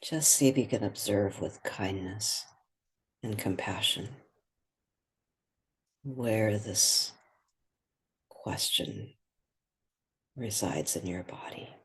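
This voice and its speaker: A meditation guide with a soft, breathy voice, speaking gently and without hurry, leaving long pauses. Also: low-pitched, lively intonation, rough recording.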